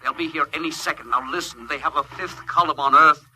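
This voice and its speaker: An actor playing a desperate starship captain in an old radio drama, speaking urgently and haltingly over a noisy recording. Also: male voice, a little high, bright, forceful tone, slightly rough, heavily acted. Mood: grave, urgent. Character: desperate male starship captain sending last warning. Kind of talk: 1950s radio drama acting.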